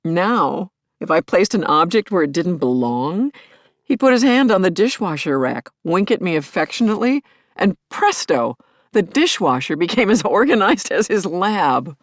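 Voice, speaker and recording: A woman playing a middle-aged grieving widow in an audio play, telling her story as an intimate, expressive and reflective monologue. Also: fairly low pitch, normal speed, dry recording, clean studio sound. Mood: fond, amused. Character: grieving widowed scientist, wistful, wry, tender, anxious. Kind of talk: intimate dramatic first-person monologue.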